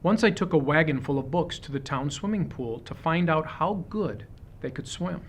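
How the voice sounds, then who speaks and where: A man reading a children's picture book aloud, with expressive, deliberate phrasing suited to young listeners. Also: on the high side, slight background noise. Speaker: storybook reader.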